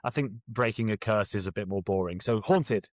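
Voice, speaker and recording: A man's voice: on the low side, normal speed, a little hiss or hum. A man with a British accent, speaking as a guest author in a casual interview, jokey, self-deprecating and rambling a little.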